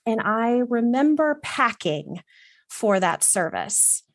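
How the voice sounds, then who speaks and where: A woman's voice: on the high side, sing-song, slow, no echo. A middle-aged woman from Texas, a guest telling personal stories in an earnest, reflective, casual way. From Texas, USA. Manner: reflective and earnest, with some fillers. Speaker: guest, wardrobe consultant. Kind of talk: casual conversational storytelling.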